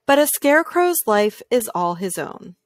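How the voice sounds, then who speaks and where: A woman reading a children's picture book aloud in a calm, gentle, measured way, pausing between phrases. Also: on the high side, dry recording, studio quality. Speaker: read-aloud storyteller.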